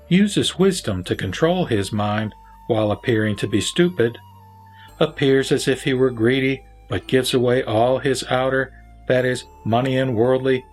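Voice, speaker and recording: Guy reciting scripture, formal and measured, mid pitch, music in the background. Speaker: narrator and reader.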